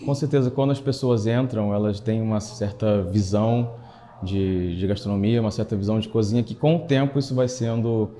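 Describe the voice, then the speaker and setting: A man's voice: lowish voice, steady tone, fairly quick, dark tone. A man with a Brazilian accent, a chef instructor giving a reflective, emphatic testimonial for a documentary in short built-up phrases.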